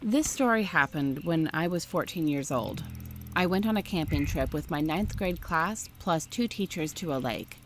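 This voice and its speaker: A woman reading a first-person story aloud in a steady, scripted narration style. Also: sits low, moderate pace, soft background music.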